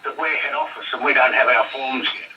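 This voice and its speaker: A man's voice: mid-range voice, fairly even intonation, a little fast. A man talking on the radio, heard through the set's speaker in the room. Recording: dull, distant, noisy radio playback.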